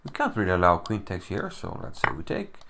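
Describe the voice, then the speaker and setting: Gravelly Icelandic man analyzing chess. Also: low-pitched, big pitch swings, a little fast, very noisy. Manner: chatty, self-deprecating, thinking aloud. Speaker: chess commentator. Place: home room. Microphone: computer mic, no camera.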